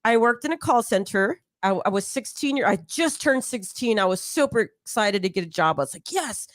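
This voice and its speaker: Female talk host, thirties, higher register, dry close sound, giggly and playful, casual group conversation. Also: expressive, brisk, no room sound. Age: 30s. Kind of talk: casual live chat with co-hosts.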